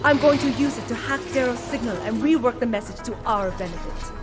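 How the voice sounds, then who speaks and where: A woman's voice: a little high, fairly quick, over music, lightly acted. A woman playing an ambitious, haughty corporate schemer with a grandiose way of talking. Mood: smug. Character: ambitious, imperious female corporate villain, smug schemer.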